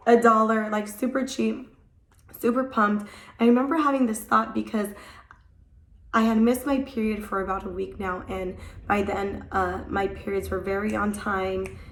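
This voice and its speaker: A young woman vlogging in a casual, rambling way, her voice hoarse from a cold and just coming back. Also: medium pitch, flat delivery, normal speed, roomy sound. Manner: chatty, rambling, many "like" fillers. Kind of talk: casual chat to camera. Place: at home.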